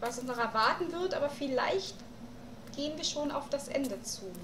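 A woman's voice: high-pitched, expressive, normal speed, reverberant, background noise. A young woman doing casual let's play commentary, thinking aloud through puzzles at home on a mic. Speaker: let's player.

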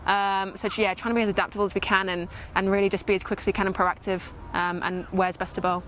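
Quick, even-toned young sportswoman. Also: medium pitch, poor audio. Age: 20s. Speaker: cricket player. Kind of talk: post-match interview answers. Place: outdoor cricket field. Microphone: lapel mic. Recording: faint outdoor ground noise.

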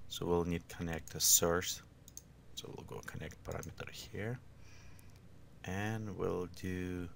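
A man who is not a native English speaker gives an informal, step-by-step software tutorial in halting, unscripted phrases. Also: deep voice, vocal fry, a little hiss or hum.

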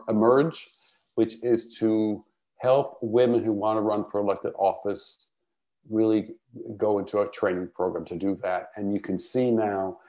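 A man's voice: lowish voice, warm tone. Older man introducing a speaker. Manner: earnest, halting, with fillers. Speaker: host introducing the poet. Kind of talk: warm spoken introduction. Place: home room, indoors. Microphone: earbuds on a video call. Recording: dull lo-fi video call audio.

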